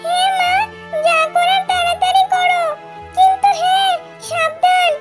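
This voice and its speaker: An eager little fox girl in a cartoon, with an extremely high, childlike voice. Faint music runs behind her. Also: flat delivery, unhurried. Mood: anxious, urgent.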